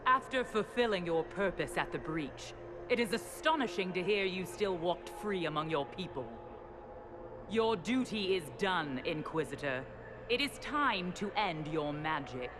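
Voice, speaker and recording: A woman's voice: on the low side, animated, measured pace, noisy background. An actress playing a stern, formal, menacing woman commander in a video game. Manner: formal, declamatory.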